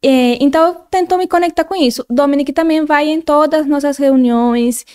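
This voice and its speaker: A woman's voice: high voice, fairly quick, darkish tone. A Colombian woman speaking with a Spanish accent as a guest, talking earnestly in casual conversation and restarting her phrases often. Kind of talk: casual interview chat.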